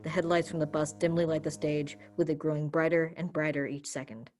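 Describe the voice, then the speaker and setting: A woman's voice: low voice, steady tone, fairly quick, a little mellow. A woman giving scripted narration, reading stage directions for a play reading.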